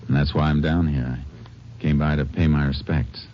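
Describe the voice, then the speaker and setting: An actor playing a courteous, steady Texas Ranger in a 1950s radio drama, with an American accent and a measured, understated delivery. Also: male voice, a bass voice, slight background noise. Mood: solemn, gentle. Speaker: lead actor and first-person narrator. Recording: dull vintage radio recording with music.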